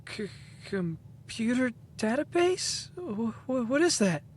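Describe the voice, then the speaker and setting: A woman playing an earnest, stammering, excitable teenage boy inventor from 1915 in an audio drama. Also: on the high side, takes it slowly, a little hiss or hum. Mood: confused, stammering.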